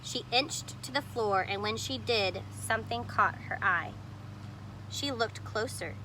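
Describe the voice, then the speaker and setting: A woman's voice: a little high, unhurried, background noise. A woman reading a picture book aloud expressively, stopping to explain the illustrations simply, as if to young children. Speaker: storybook reader.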